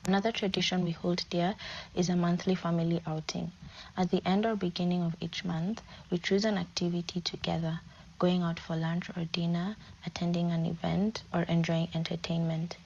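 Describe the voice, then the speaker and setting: Kenyan student in her twenties, lowish, flat delivery, dull lo-fi recording with faint hiss. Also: moderate pace, gravelly. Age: 20s. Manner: steady, read-out delivery. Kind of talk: scripted personal presentation.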